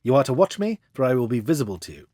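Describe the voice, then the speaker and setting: A man reading a storybook as a confident, commanding Victorian gentleman detective with an assured, theatrical manner. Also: medium pitch, big pitch swings, rapid-fire, no room sound, studio quality.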